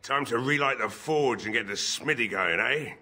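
Gruff, forceful old drunken blacksmith. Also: male voice, mid-range voice, lively intonation, normal speed, exaggerated voice. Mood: resigned, grudging hope. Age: older. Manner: weary, gruff, despairing. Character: drunken, grief-stricken old village blacksmith, gruff and bitter. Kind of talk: fantasy game dialogue acting.

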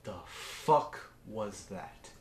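A man's voice: on the low side, animated, extremely slow, a little mellow, reverberant. A young man with an irritated, sweary manner, acting in an amateur comedy sketch. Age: young adult. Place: small dim room, indoors.

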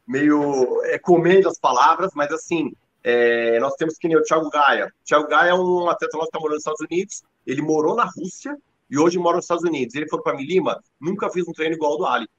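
A man's voice: fairly high pitch, fast. A man in his thirties with a Brazilian Portuguese accent, speaking emphatically over a video call from his living room. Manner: energetic, emphatic, many fillers. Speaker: MMA team leader and coach. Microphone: laptop webcam on video call. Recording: dull lo-fi call audio.

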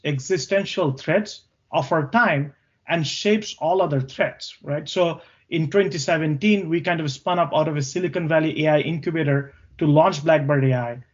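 Higher-pitched Bangladeshi man, slightly dull recording. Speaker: podcast guest, tech co-founder. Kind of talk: interview answers, recounting career.

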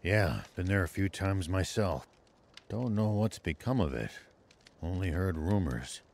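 A seasoned old tank veteran, low in pitch with lively swings. He is gruff and steady, a game hero who has seen war. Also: measured pace, full character voice. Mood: grave, reflective. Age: older. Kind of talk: video game voice acting.

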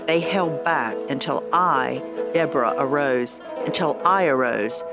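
A woman's voice: a bass voice, unhurried, loud music behind the voice. A woman playing a commanding older prophetess in a biblical radio drama. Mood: solemn.

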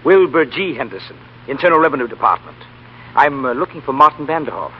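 Casting: a man as insistent government official, quick, lively delivery, 1930s radio comedy-drama. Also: medium pitch, natural voice. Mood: businesslike. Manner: insistent, businesslike. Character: officious, exasperated male government tax man. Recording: dull, noisy 1930s radio broadcast recording.

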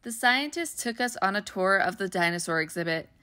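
A woman reading a children's picture book aloud in a gentle, expressive, child-friendly way. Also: medium pitch, fairly quick, no echo, clean studio sound. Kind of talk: storybook read-aloud.

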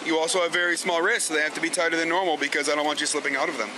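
A man's voice: fairly high pitch, flat delivery, rapid-fire, sharp tone, slight background noise. A man, a police officer giving procedural questions and orders outdoors into a body camera. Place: outdoors on a street at night. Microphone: body-worn camera. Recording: body camera on a night street, music behind.

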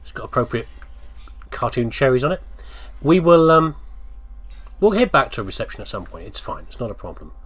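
A man's voice: medium pitch, very animated, a little fast, breathy edge. A man giving casual gameplay commentary, rambling and thinking aloud as he talks to himself. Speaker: gamer commentating on playthrough.

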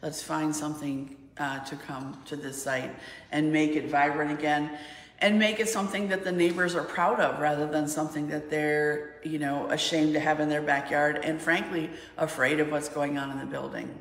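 A woman's voice: sits low, little pitch movement, normal speed. A middle-aged woman telling a history as an interview guest in a large room indoors. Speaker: guest, outreach and networking representative. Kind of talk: explanatory interview storytelling. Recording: echoey reverberant room.

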